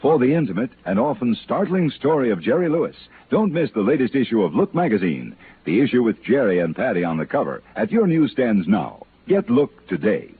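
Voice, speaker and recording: A man's voice: medium pitch, big pitch swings, a little fast, slight background noise. A man working as a radio announcer, reading scripted narration and ads in a 1950s style. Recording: tinny old radio broadcast with music.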